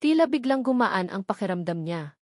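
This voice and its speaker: A woman's voice: mid-range voice, sing-song, normal speed, a little mellow, no room sound, studio quality. A woman reading a scripted romantic drama story as its narrator.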